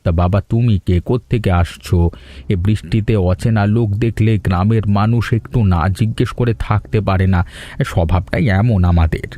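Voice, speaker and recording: A man's voice: on the low side, moderate pace, warm tone, no room sound, lightly acted. A man voicing a muddled, kindly, chatty 65-year-old villager in a cartoon, stammering and repeating himself. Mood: muddled, friendly. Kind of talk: cartoon story voice acting.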